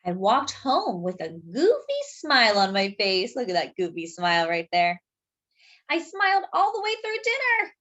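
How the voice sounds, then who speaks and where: A woman reading a children's picture book aloud, pausing to point out details in the pictures. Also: medium pitch, wildly swooping pitch, fairly bright tone. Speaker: children's storyteller.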